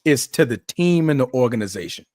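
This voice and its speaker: Emphatic thirties male co-host, lively pitch, dry close sound. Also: brisk, no room sound. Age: 30s. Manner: emphatic, animated, repeats his points. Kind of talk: casual chat.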